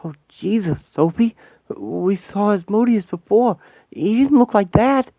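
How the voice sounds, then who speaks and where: A man voicing a frightened, short-tempered young woman who talks in a high, shrill, agitated way. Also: expressive, a little slow, dark tone, no room sound. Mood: alarmed. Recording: tinny phone-like sound.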